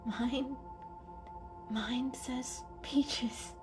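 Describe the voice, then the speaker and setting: A woman voicing a shy, gentle female cat in a storybook reading, soft and hesitant. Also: sits high, steady tone, drawn-out words, over music, voice pushed up.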